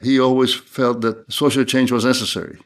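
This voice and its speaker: An older man from Cuba speaks as an interview guest, recalling things in a measured, reflective way. He often stops and restarts mid-phrase, and his voice sits at an ordinary middle pitch. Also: little pitch movement. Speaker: theologian guest. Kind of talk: unscripted interview talk.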